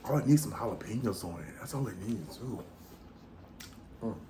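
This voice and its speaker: A man's voice: fairly low pitch, animated, moderate pace, warm tone, slight background noise. An American man chatting casually and slangily between bites as a food vlogger, with an informal way of talking. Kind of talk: casual chat while eating.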